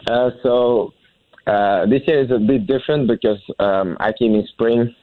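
A young man from Belgium, a college tennis player, answering interview questions in halting with many fillers and restarts. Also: mid-range voice, slight background noise. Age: young adult. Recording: tinny phone-like sound.

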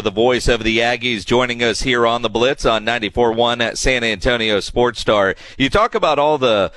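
A man with a mid-pitched voice speaks in a very dry studio sound. He hosts sports radio, talking through football conversationally as he interviews a guest. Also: fairly bright tone, no room sound.

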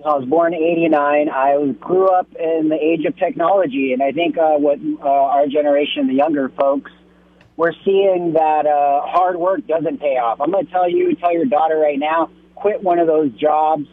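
A man in his thirties calling into a radio show, earnest and blunt, getting animated as he makes his point. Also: fairly high pitch, normal speed, dry recording. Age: 30s. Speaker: caller. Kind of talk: call-in opinion. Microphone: telephone. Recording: tinny phone line.

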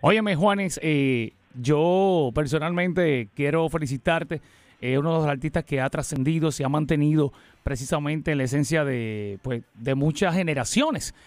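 A man hosting a live radio interview, with a lively announcer delivery and frequent fillers when chatting. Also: fairly high pitch, normal speed, no echo. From Puerto Rico. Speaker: radio host.

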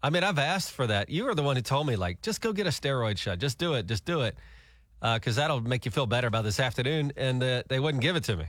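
Fast-talking male radio host. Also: medium pitch, expressive, a bit bright, no echo, clean studio sound. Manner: quick, joking, talks over co-host. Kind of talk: casual radio banter. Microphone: studio mic.

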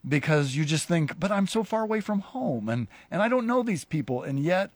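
A pastor hosting a casual conversation, a man who talks in halting, rambling sentences with many restarts. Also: a little high, very animated, no room sound. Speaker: pastor and show host.

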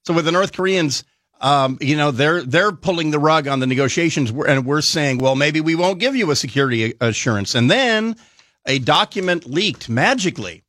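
A man's voice: a little high, lively intonation, moderate pace, a bit bright, dry recording, studio quality. A man giving blunt, sardonic commentary as a guest analyst on a talk radio show, often restarting his phrases.

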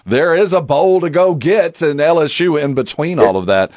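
Lively male sports radio host, dry sound. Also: fairly high pitch, normal speed, no echo. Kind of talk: sports talk interviewing.

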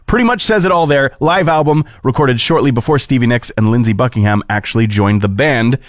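A man's voice: mid-range voice, brisk, no room sound, studio quality. A man in his thirties with a Canadian accent, hosting an entertainment podcast in a wry, conversational style. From Alberta, Canada. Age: 30s. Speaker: podcast host. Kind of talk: casual entertainment news and chat.

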